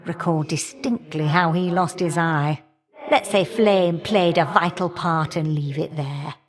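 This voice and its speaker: A woman's voice: deep voice, unhurried, soft background music. A woman voicing a feisty, folksy elder goddess of flame in a video game, sassy and affectionately teasing. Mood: wry, reflective. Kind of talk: video game character dialogue.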